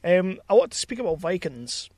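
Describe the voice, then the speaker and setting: A man's voice: high-pitched, very animated, moderate pace, a little mellow, no echo. A man hosting a podcast, putting questions to a guest in a casual, chatty style. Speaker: podcast host interviewing a guest. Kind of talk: casual interview chat.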